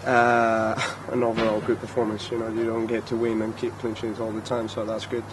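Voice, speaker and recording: A young man, a footballer giving halting post-match answers with many fillers, into handheld recorders outdoors. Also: lowish voice, completely flat delivery, noisy background. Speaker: goalkeeper. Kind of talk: post-match press interview. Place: outdoor press area by a sponsor backdrop. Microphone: handheld recorders held close.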